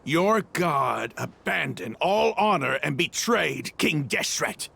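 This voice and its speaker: An actor playing a zealous desert mercenary leader in a video game, gravelly, grandiose and mocking, declaiming like a villain. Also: male voice, on the high side, lively intonation, takes it slowly, pushed and bright, full character voice. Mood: scornful.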